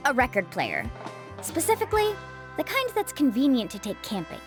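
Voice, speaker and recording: A woman's voice: high voice, wildly swooping pitch, moderate pace. A young woman playing a breezy, confident female adventure guide in an anime game. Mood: playful. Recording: game music behind the voice.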